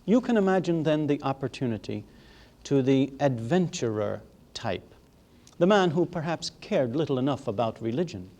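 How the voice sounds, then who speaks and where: A man giving a formal history lecture in a measured, emphatic way, leaning on rhetorical repetition. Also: medium pitch, darkish tone.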